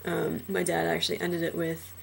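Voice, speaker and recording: A young transgender man in a casual personal vlog, talking hesitantly with many fillers and restarts, his trained low voice deepened further by a cold. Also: completely flat delivery, normal speed, gravelly, fairly bright tone, a little hiss or hum. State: voice lowered by illness.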